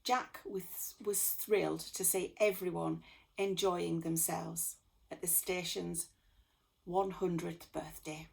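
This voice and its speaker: A woman storyteller reading a children's bedtime story aloud in an expressive manner. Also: fairly low pitch, takes it slowly, vocal fry. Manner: expressive, with acted dialogue.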